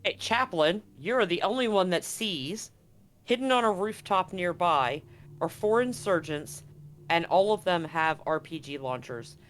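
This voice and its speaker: A man narrating a tabletop game as game master, improvising haltingly and repeating words as he goes. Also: high voice, animated, a bit bright.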